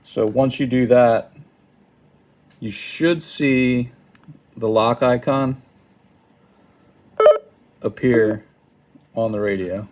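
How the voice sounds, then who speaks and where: A man giving an informal hands-on product tutorial in a hesitant, halting, apologetic manner. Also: medium pitch, background noise. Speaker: product demonstrator. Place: indoors at a wooden table.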